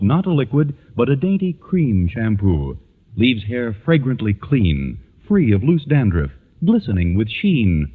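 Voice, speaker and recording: A man reading a scripted 1940s radio advertisement as a commercial announcer. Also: medium pitch. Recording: muffled, reverberant 1940s radio recording.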